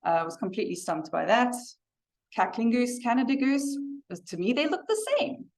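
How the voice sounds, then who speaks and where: A woman's voice: a little high, animated, normal speed, darkish tone. Chatty woman presenting. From South Africa. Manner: chatty and self-deprecating, light laughs. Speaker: guest presenter. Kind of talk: club presentation talk. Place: meeting room with a hybrid video call.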